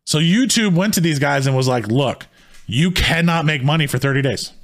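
A man co-hosting a casual podcast, talking in a heated, rambling way with many restarts, his voice slightly hoarse. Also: on the high side, lively intonation, moderate pace. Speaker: podcast co-host.

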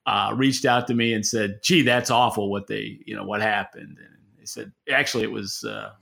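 Middle aged american guy on a podcast, kinda creaky forceful voice, rambles and restarts, plain talker. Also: lowish voice, fairly even intonation, moderate pace. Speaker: podcast co-host. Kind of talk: casual unscripted market talk.

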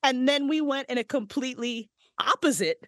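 A woman's voice: high voice, moderate pace, no echo, studio quality. A woman voice actress and writer, a podcast guest chatting casually, laughing a lot and swearing freely.

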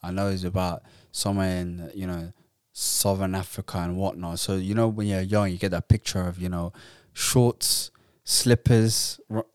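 A man's voice: sits low, fairly even intonation, normal speed, smooth, no room sound, clean studio sound. A man who grew up partly in the Netherlands, chatting casually and rambling with fillers and restarts. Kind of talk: casual chat.

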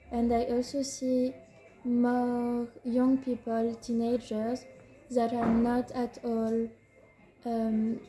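A woman from France talks slowly and with little rise and fall in her voice, pausing often to find the right words. Her tone is very dark and mellow, and her pitch sits a bit high. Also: rough recording. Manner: hesitant, searching for words. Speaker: librarian. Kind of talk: interview answers.

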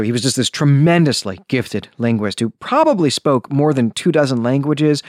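A man's voice: medium pitch, dry recording, studio quality. Enthusiastic, bookish man hosting a podcast. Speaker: podcast host. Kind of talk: informal prepared talk on a book and history.

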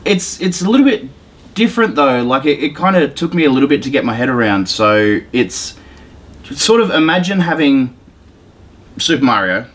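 A man's voice: mid-range voice, big pitch swings, moderate pace, a bit bright. A man hosting a podcast, explaining video games casually in loose, informal phrasing. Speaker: podcast host.

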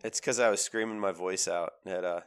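Low-voiced man with a cold. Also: brisk, clear, resonant tone. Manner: animated, emphatic with repeated phrases. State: has a cold, voice lower than usual. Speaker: podcast co-host. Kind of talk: casual sports chat.

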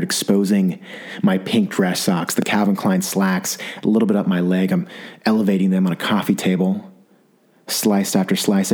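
A young adult man hosting a podcast with a scratchy, sore-throat voice, talking through a rambling comic monologue with lots of restarts. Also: on the low side. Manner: rambling, animated storytelling with repeated restarts. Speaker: podcast host. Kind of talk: comedic solo monologue.

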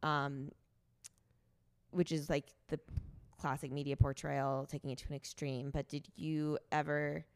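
A woman's voice: deep voice, little pitch movement, a little slow, clear, resonant tone, no echo. A woman, a podcast host in her late twenties, chatting informally in an interview and saying "like" often. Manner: chatty, informal, liberal use of "like". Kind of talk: casual in-person interview.

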